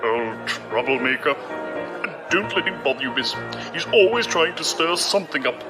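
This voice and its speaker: A man's voice: fairly high pitch, expressive, normal speed, lightly acted. A man playing a polite, helpful servant in an audio drama, deferential and courteous. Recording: music behind the voice. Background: music.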